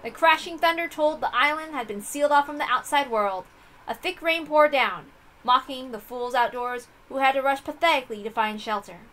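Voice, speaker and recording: A woman's voice: high voice, moderate pace, a bit bright, a little hiss or hum, poor audio. A woman reading a visual novel aloud as dramatic scripted narration.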